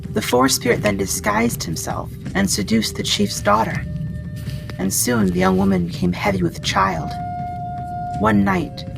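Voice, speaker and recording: A woman playing a friendly, soft-spoken female wendigo in an ASMR storytelling roleplay. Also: medium pitch, steady tone, unhurried, creaky. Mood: grave. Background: music.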